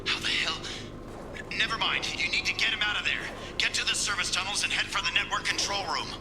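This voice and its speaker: A man's voice: fairly quick, big-room sound, noisy background, lightly acted. A man playing a panicked medical tech on comms. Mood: urgent. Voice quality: frantic, high-strung. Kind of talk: video game voice acting.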